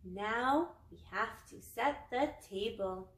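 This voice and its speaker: Woman reading as a patient, slow-speaking storybook mother. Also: fairly high pitch, animated. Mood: patient, firm. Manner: patient, deliberate explaining. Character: patient, instructive mother in a picture book. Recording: roomy indoor echo.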